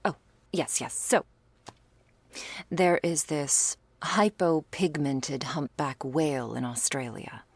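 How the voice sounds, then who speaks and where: A woman acts out a doting female vampire in an intimate ASMR roleplay. Her voice sits low with a creaky edge, and she takes her time. She is wryly playful and old-fashioned, recorded close and dry. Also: takes it slowly, no room sound, heavily acted. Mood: fond, wry. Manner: breathy asides, pet names, quaint turns of phrase.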